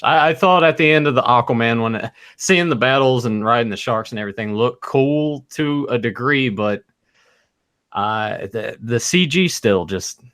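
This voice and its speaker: A man hosting a casual movie podcast, giving low-key, somewhat hesitant opinions. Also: fairly bright tone, dry recording, clean studio sound. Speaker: podcast host.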